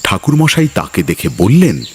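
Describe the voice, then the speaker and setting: A man's voice: fairly low pitch, very animated, moderate pace, a little hiss or hum. A man narrating a scripted children's story as a storyteller. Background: loud music.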